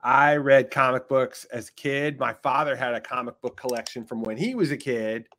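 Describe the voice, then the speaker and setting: A middle-aged man from North Carolina, a composer guest, telling stories in a relaxed, easygoing podcast chat. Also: mid-range voice, normal speed, slight background noise. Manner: relaxed, storytelling, laughs easily. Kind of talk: casual podcast interview.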